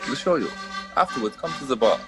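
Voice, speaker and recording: An actor playing a jolly, courteous, flirty host in an audio play, with an inviting manner. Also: male voice, medium pitch, big pitch swings, fast, music in the background, close to an everyday voice. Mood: welcoming, flirty. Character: jolly, courteous, flirty male host inside a magical painting.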